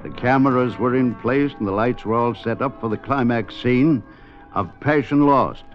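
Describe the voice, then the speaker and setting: A man's voice: mid-range voice, normal speed. A man narrating a scripted 1950s radio drama as storyteller. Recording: dull 1950s radio recording with music.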